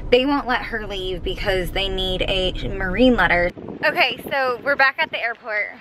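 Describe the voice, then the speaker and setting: A woman's voice: mid-range voice, moderate pace, background noise. A woman in her thirties talking casually to a handheld camera as a vlog host. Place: outdoors in town, in a car, on a boat. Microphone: handheld camera close by. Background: music.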